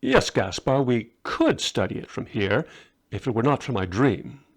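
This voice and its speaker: A man's voice: mid-range voice, huge pitch swings, measured pace, breathy edge, full character voice. A man reading the part of a learned male astrologer, proud yet anxious, explaining himself earnestly in a story. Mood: assured.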